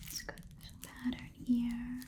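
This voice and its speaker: A woman voicing a chatty, haggling goblin pawn shop keeper in a soft whispered ASMR roleplay, muttering and repeating words to herself. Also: on the high side, completely flat delivery, measured pace, lightly acted. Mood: fussy, absorbed.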